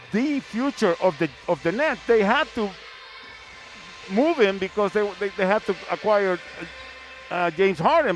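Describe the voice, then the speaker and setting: A man hosting a sports broadcast, talking basketball conversationally and repeating words as he builds up his questions. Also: high-pitched.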